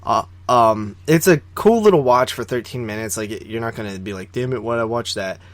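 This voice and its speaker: A man's voice: mid-range voice, animated, fairly quick, dry recording. A man co-hosting a podcast, talking about films in a casual, rambling and opinionated way, often repeating words for emphasis. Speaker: podcast co-host reviewing horror films. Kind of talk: casual conversational film discussion.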